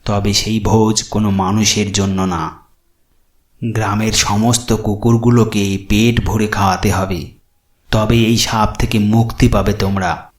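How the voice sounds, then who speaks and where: A man's voice: on the low side, level tone, measured pace, roomy sound, pitched below the performer's own voice, natural voice. A man voicing a solemn, authoritative tantric holy man who makes grave pronouncements in a horror cartoon. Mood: grave, commanding.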